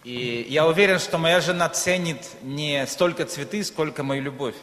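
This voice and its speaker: A man's voice: on the high side, normal speed, a bit bright. A man from Ukraine, a native Russian speaker, preaching in short phrases that leave room for an interpreter. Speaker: guest preacher. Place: church hall. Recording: echoey hall with long reverb.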